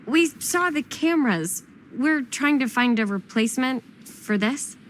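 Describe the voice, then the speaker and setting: A woman's voice: sits high, expressive, unhurried, a little hiss or hum. An actress playing a wary, polite young woman customer, casual and hesitant, in a naturalistic video game scene. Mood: polite, tentative.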